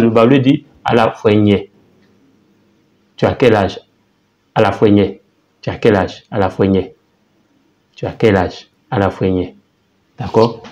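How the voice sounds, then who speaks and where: A man teaching a language lesson in a casual, halting way, often repeating words and saying phrases slowly. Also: lowish voice, darkish tone. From Côte d'Ivoire.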